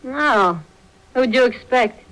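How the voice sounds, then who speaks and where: An actress playing a brassy, scheming gangster's wife in a 1940s radio crime drama, with an American accent and slangy, sarcastic speech. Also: female voice, on the high side, expressive, takes it slowly, a bit bright, low-quality recording. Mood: defiant, sarcastic. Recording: vintage 1940s radio broadcast.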